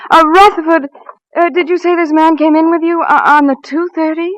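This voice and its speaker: A woman's voice: very high-pitched, steady tone, fairly quick, no room sound, muffled recording. An actress in a 1940s radio drama playing a devoted, anxious housewife who also narrates her own suspense story. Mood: tense, frightened. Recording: old radio recording with music.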